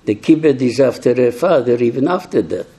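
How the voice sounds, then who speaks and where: An elderly man recounts his memories slowly, in halting, reflective phrases with frequent restarts. His voice is very dark and mellow, with a husky grain. He is hard of hearing. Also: medium pitch, expressive. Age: older, 80s or 90s. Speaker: survivor giving testimony to young people. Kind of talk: personal testimony talk.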